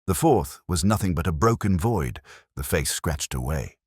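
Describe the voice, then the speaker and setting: A man reading a scripted first-person detective story in a measured, solemn, literary manner. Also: deep voice, expressive, a little mellow, breathy edge, no echo, clean studio sound. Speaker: narrator.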